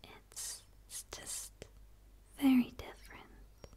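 A woman voicing a gentle, curious dark alien in a soft, tentative, pleading ASMR roleplay whisper. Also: takes it slowly, natural voice. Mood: earnest, hopeful. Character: gentle, curious female dark alien eager for human friendship.

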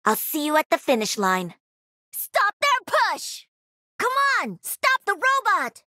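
A woman's voice: high-pitched, huge pitch swings, takes it slowly, pushed and bright, no echo, clean studio sound, exaggerated voice. A woman voicing a cocky, playful young gamer who pilots a mech in a video game, with punchy, teasing callouts. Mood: confident, upbeat. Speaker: voice actor.

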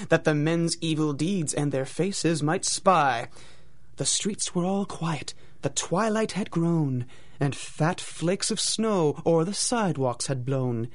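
An actor playing a dramatic radio announcer, telling a pulp tale in rhyme with theatrical, measured storybook delivery. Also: male voice, fairly high pitch, expressive. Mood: grave, dramatic. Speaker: announcer and narrator. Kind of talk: rhymed storybook narration in old-time radio drama style.

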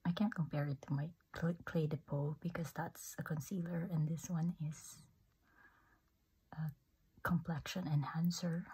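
A woman leans into a close microphone and speaks almost entirely in a soft, unvoiced whisper, her tone mellow and dark. She takes her time, drawing out each phrase slowly and gushing quietly with amazement. Her breathy voice barely rises above a hush. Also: low-pitched, steady tone, low-quality recording. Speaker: beauty ASMR creator. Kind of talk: whispered ASMR makeup demo.

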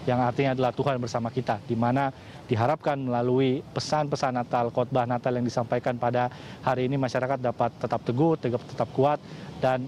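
A young man giving a formal, somewhat halting live news report outdoors through a face mask. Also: mid-range voice, little pitch movement, a little mellow. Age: young adult. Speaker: field reporter. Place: outdoors in front of a large church. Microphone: handheld or chest-held reporter's microphone, through a face mask. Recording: noisy city street outdoors.

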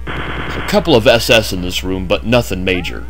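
A man's voice: deep voice, lively intonation, moderate pace, fairly bright tone, faint music behind. A man narrating a game walkthrough in a chatty way with jokey asides, just woken up. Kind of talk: casual gameplay commentary.

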